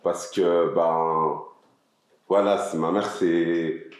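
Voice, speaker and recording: A man's voice: deep voice, steady tone, extremely slow, slight background noise. A man in his twenties from Switzerland, a guest telling his story in casual chat, hesitant and full of fillers. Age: 20s. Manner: hesitant, many fillers and restarts. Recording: very echoey room.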